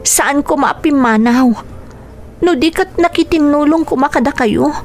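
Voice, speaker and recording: A woman's voice: sits high, unhurried, a little hiss or hum. A woman acting a bitter, resolute young eldest daughter in a Filipino radio drama, emotional and earnest, with a Filipino accent. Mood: bitter, resentful.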